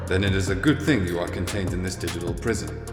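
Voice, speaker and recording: Man voicing a low, echoing ship computer. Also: quick pace, lightly acted. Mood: formal, puzzled. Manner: formal, clipped, emotionless. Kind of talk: science fiction audio drama acting. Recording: echoey, long reverb, music behind.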